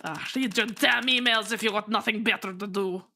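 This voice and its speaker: Woman putting on a gruff Russian-accented old boss. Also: mid-range voice, normal speed, pushed and bright, voice pushed down. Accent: put-on Russian accent. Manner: gruff, bossy, scolding. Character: gruff old male mob chairman, grandfather.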